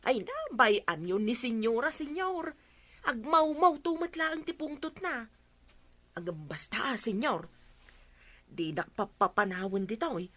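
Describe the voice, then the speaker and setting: A woman's voice: fairly high pitch, very animated, unhurried, fairly bright tone, heavily acted. A woman playing a cheeky, deferential housemaid who jokes with her employer. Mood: chatty. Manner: playful, deferential. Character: cheeky longtime female housemaid, joking. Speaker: maid.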